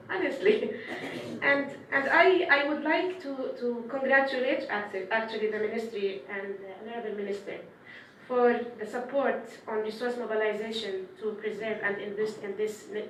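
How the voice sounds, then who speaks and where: A woman gives earnest, formal remarks, her voice pitched a little high. She often restarts her phrases. She sounds set back from the mic in a very echoey room, with faint noise behind her. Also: recorded from a distance. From Palestinian, raised in Syria and Jordan. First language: Arabic. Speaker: development official giving remarks. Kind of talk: formal speech at a press event. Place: indoor press conference room.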